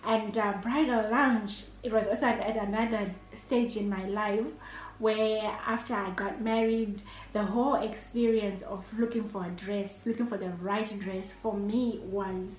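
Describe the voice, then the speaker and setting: A woman's voice: medium pitch, slight background noise. A Zimbabwean woman in her thirties, an entrepreneur and chef, answering interview questions in a hesitant way, with many fillers and restarts. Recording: echoey room.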